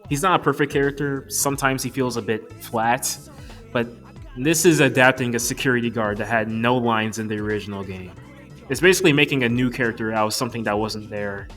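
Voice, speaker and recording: A man's voice: mid-range voice, a bit bright. Male reviewer, casual and opinionated, slangy conversational commentary, music underneath. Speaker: video reviewer. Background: background music.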